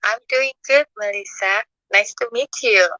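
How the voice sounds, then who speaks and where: A woman's voice: medium pitch, a little slow, bright, forceful tone, dry recording, lo-fi sound. A woman from Indonesia with an Indonesian accent, a real estate agent chatting casually, friendly and sometimes searching for words. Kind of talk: casual chat.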